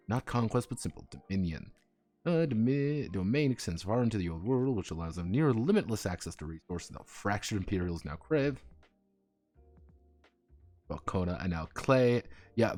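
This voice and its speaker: A male streamer with a fairly low voice talks casually as he works on his game. He drifts between reading text aloud and rambling, chuckling asides, with soft music playing behind him. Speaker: live streamer and tabletop game designer.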